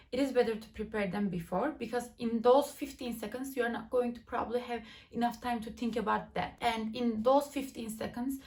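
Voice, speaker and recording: A young woman from Turkey with a Turkish accent gives chatty, step-by-step tips for a language exam from home, with small slips in her English. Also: on the high side. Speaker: TOEFL tips YouTuber. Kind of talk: casual instructional vlog. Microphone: laptop nearby.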